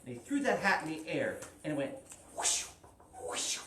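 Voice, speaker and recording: A woman's voice: deep voice, big pitch swings, moderate pace, a bit bright. A woman telling a children's story, asking the listeners questions and using repetition to build suspense. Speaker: storyteller at a library story time. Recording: roomy, distant mic with faint noise.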